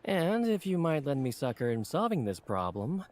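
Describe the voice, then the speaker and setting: A man's voice: fairly high pitch, big pitch swings, moderate pace, a little mellow. A man playing a polite, earnest young book editor in an anime game, speaking formally and courteously. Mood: earnest flattery. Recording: game music behind the voice.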